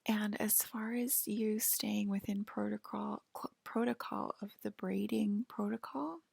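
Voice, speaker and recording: A woman acting as a female alien abductor in an ASMR roleplay, whispering slowly and hesitantly. The voice is breathy and heavily crackled with fry. It keeps a soft, clinical, gently official manner throughout. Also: medium pitch, fairly even intonation, no echo, full character voice. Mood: calm, clinical.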